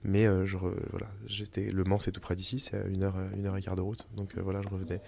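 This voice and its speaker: Young man, interviewee, halting speech, deep voice, flat delivery. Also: gravelly, heavy background noise. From France. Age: young adult. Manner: hesitant, many fillers and restarts. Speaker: interviewee, company founder. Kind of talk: casual interview. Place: family house in the countryside.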